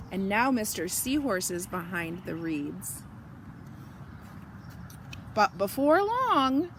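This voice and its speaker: A woman reading a picture book aloud to children, gently explaining and asking questions in asides. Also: fairly high pitch, background noise. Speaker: children's story reader.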